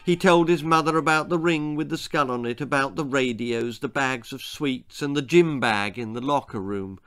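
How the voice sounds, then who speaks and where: An older man, an author reading a spooky, comic children's story aloud in a theatrical, deliberate way, drawing out key words. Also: mid-range voice, fairly bright tone, clean tone. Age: older, about 60s or 70s. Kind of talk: dramatic children's horror-comedy storytelling. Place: book-lined room indoors. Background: background music.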